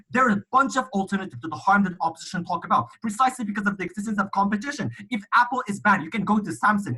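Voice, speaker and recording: A man's voice: high voice, bright, forceful tone, husky, poor audio. A man giving an emphatic competitive debate speech, often checking in with "right?" and restarting his phrases. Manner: rapid, emphatic, frequent "right?" tags and restarts. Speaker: debater, closing government speaker.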